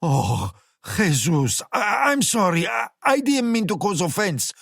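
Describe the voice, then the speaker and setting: A man's voice: high voice, sing-song, unhurried, creaky, fairly bright tone, no echo, studio quality, voice pushed up, exaggerated voice. A man voicing a fat, frightened male restaurant manager who stammers and swears. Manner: stammering, nervous, profane.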